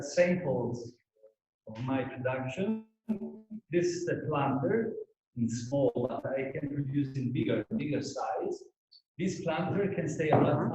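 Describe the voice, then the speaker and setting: Halting man explaining his craft. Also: medium pitch, background noise. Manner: halting, repeats words while searching. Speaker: terracotta maker. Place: his studio. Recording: echoey dull audio from far.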